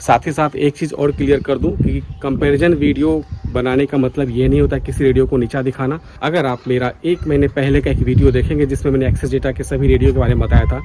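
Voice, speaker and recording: A man's voice: mid-range voice, fairly even intonation, fairly quick, few pauses, background noise. An Indian man speaking casually to his viewers as a radio hobbyist vlogger, earnest and insistent in how he makes his points. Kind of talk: casual opinion vlog addressed to viewers.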